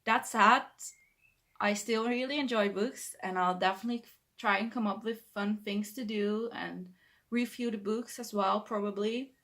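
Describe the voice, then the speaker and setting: A woman's voice: mid-range voice, steady tone, normal speed, fairly bright tone. A young woman from the Netherlands with a Dutch accent, talking to camera in her bedroom in a hesitant, self-correcting way with shy laughs. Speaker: booktuber. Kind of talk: casual talk to camera.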